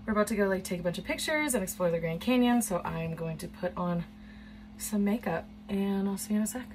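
A woman's voice: medium pitch, brisk, darkish tone, a little hiss or hum. A woman filming a casual travel vlog on her phone outdoors at a campsite, chatty and awed by the view. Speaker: travel vlogger. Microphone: handheld phone.

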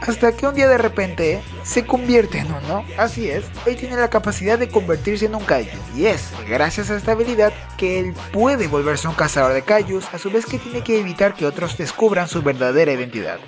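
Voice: medium pitch, expressive, brisk, few pauses. An enthusiastic, informal host narrating a scripted review, giving recommendations in a casual register. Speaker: host of a manga recommendation video. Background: music.

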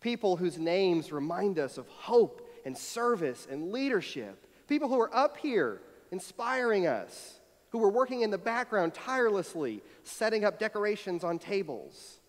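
A man's voice: sits high, sing-song, normal speed. An American man in his thirties, a pastor giving a conversational, personal sermon with a reflective, storytelling manner at a church pulpit. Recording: long hall reverb from church sanctuary.

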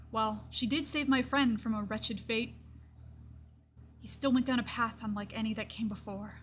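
A woman's voice: on the high side, close to an everyday voice. Woman voicing a wistful old lion-folk druid, noisy recording. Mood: somber. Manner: wistful, reflective, slow pauses, hushed trailing phrases. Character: gentle old gray-furred lion-folk druid in metal armor. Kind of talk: character monologue for a tabletop fantasy game.

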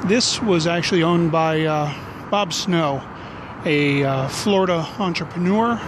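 A man's voice: fairly high pitch, measured pace. A man in his forties giving a casual, rambling, jokey walking tour as a vlog host, recorded on a handheld camera outdoors. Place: outdoors on city back streets. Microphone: handheld camera at arm's length. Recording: noisy outdoor street with traffic.

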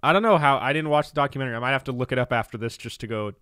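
A man's voice: mid-range voice, racing, a bit bright, smooth, dry recording. A young man co-hosting a podcast in casual chat, rambling and laughing often, close to a studio mic in a small room. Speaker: podcast co-host. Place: small room with posters, indoors. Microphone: studio mic close up, headphones.

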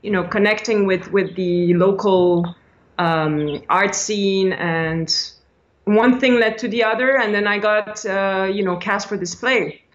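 A woman's voice: mid-range voice, a little slow. Woman chatting on a lo-fi video call. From Switzerland. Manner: chatty, laughs often, many restarts. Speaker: guest, actress. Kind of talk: casual video-call interview. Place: small indoor room by a window. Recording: dull lo-fi video-call audio.

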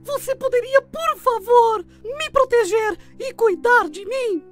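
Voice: very high voice, slow pace, fairly bright tone, soft background music, full character voice. A performer voicing a tiny, timid male mouse in a children's cartoon fable, pleading earnestly in a quavering way. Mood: terrified, pleading.

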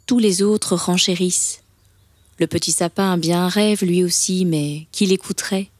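A woman's voice: on the low side, fairly even intonation, normal speed, no room sound. A woman narrating a scripted children's story as a storyteller. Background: soft background music.

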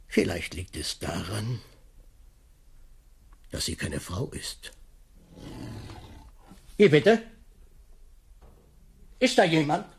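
An actor playing a pompous, timid master baker in a radio play, speaking rhetorically with a husky, creaky voice. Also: male voice, fairly low pitch, full character voice. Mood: brooding, self-pitying, then startled, frightened. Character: brooding, grandiloquent master baker, timid and anxious. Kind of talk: 1980s German radio play acting.

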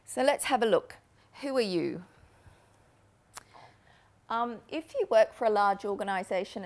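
Lower-voiced woman giving a talk. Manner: measured, explanatory, with frequent ums. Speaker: keynote speaker on marketing. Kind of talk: conference presentation. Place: lecture room with a projector screen. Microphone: lapel mic.

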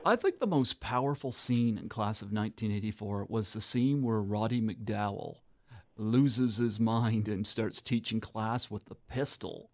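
Male host and movie reviewer, casual interview, halting delivery. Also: on the low side, a little mellow. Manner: halting, many ums.

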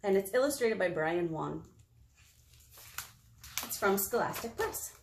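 An American woman in her thirties hosting a children's storytime, expressive and talking directly to small children in a room. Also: mid-range voice, unhurried, creaky, slight background noise. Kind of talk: children's storytime read-aloud with singing. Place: small indoor meeting room. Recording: roomy indoor echo.

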